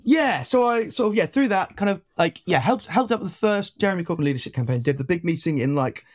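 A young man from Wales with only a faint Welsh accent, talking fast as an interview guest. His voice sits a little high, and he rambles through fillers and self-corrections. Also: animated. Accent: slight Welsh. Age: 20s. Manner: chatty, rambling, full of fillers and restarts. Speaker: guest activist. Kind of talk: casual interview chat.

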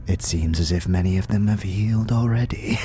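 A man playing a frail, weary old scribe in a fantasy audio drama, speaking solemn archaic lines with a breathy, wheezing, whispery rasp. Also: low voice, noisy background, close to an everyday voice. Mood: probing, weary. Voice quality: breathy, wheezing, rattling with whispered trailing phrases. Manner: slow, solemn, archaic formal speech. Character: frail, weary, ancient undead-order scribe, solemn and contemptuous. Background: music behind the voice.

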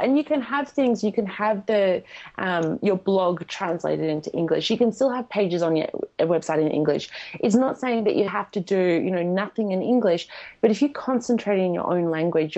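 Frank woman with a gravelly voice. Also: lowish voice, dark tone, lo-fi sound. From Australia. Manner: frank, emphatic. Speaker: guest. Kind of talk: podcast interview.

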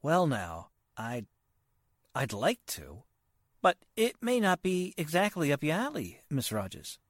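A man playing a polite, hesitant, worried nephew in 1940s radio drama style, earnest and formal, halting as he speaks. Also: on the high side, huge pitch swings, no room sound, studio quality, heavily acted.

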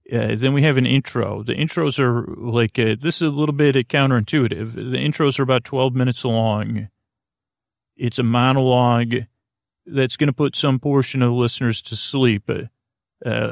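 Creaky-voiced man rambling gently for bedtime. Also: mid-range voice, dry recording, studio quality. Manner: meandering, halting, goes off on tangents; gently reassuring, soothing. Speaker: podcast host. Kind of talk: rambling bedtime monologue for a sleep podcast.

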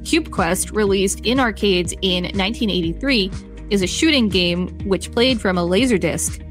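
A woman with an average-pitched voice narrates an encyclopedia-style article as one host of a podcast. She reads from a script and can slip into brief casual remarks. Soft music sits faintly underneath. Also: normal speed.

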